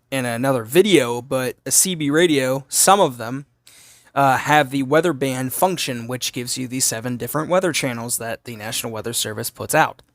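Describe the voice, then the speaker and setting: A man's voice: medium pitch, a bit bright, no room sound, studio quality. A man giving a casual, unscripted hands-on product review in an explanatory way, as a hobbyist. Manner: explanatory, asks and answers own questions. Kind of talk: casual unscripted gadget demonstration. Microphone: phone camera held while demonstrating.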